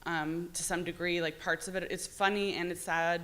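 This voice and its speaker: A woman's voice: fairly low pitch, little pitch movement, normal speed, fairly bright tone. An American woman novelist talking informally about her own work, candid and rambling, often saying "like". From New York City, United States. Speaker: novelist discussing own book. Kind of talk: informal author talk.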